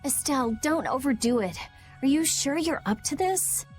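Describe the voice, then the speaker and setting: A woman's voice: sits high, expressive, normal speed. An actress playing a cool-headed, caring young woman adventurer in a video game, with a frank delivery. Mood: concerned. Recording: music under the voice.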